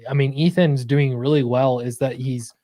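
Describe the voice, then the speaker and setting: A man's voice: mid-range voice, steady tone, moderate pace, warm tone, no echo. A man in a casual panel discussion, earnest and agreeable, feeling his way through points with small self-corrections.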